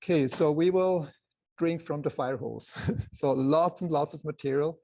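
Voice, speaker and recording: Soft-voiced Swiss man with creaky tone. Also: a little high, measured pace. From Switzerland. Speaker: photography presenter. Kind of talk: informal slide lecture to a live group.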